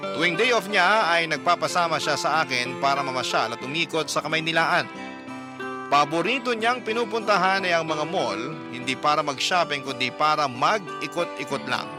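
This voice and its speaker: A man tells a first-person love story for a radio program in an earnest, storytelling way. His voice sits a little high, and clear background music plays under his narration. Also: normal speed. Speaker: narrator of a listener's letter. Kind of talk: scripted dramatic radio narration.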